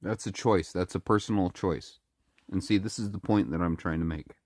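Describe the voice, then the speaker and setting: Very deep-voiced, halting speaker. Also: male voice, a little mellow, no echo, studio quality. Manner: halting, many restarts and fillers. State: a little sick, throat-clearing. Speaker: vlogger. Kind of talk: casual monologue to camera.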